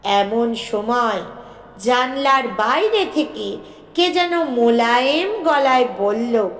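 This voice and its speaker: A woman narrating a bedtime story in an expressive storytelling style. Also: a little high, slow. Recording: roomy echo.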